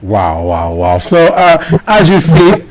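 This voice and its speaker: A man's voice: a little high, big pitch swings, takes it slowly. A man hosting a live radio interview, eager and stumbling, often repeating words as he forms his questions. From Angola or Congo. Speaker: radio host.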